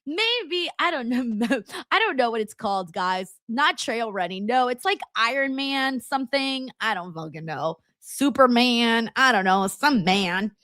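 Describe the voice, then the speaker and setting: Woman host, high bright voice, loose chatty manner, laughs often, dry close mic. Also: expressive, measured pace, no echo. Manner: talks straight to her viewers. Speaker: live-stream host reading chat. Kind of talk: casual watchalong chat.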